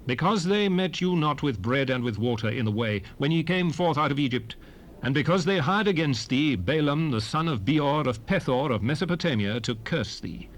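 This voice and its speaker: A man's voice: on the high side, lively intonation, natural voice, poor audio. Man performing a solemn scriptural lawgiver, swooping delivery. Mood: solemn, commanding. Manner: declamatory, deliberate, archaic diction. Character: solemn lawgiver proclaiming ancient commandments. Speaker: narrator reading scripture. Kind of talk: dramatized King James Bible reading. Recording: hissy 1978 cassette rip.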